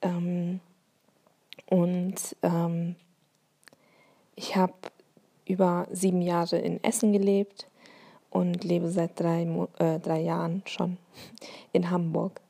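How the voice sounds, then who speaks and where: Woman with a faint Bavarian lilt, solo podcast musing, mellow low voice, halting and reflective. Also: level tone, vocal fry. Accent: light Bavarian German. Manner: thoughtful, many hesitations and fillers.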